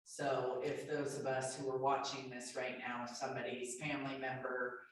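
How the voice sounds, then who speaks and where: Loud moderator heard from far off in a hall. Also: mid-range voice, level tone, moderate pace. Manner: loud, projecting voice. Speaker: moderator reading audience questions. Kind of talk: panel discussion. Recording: very echoey hall, distant mic, faint noise.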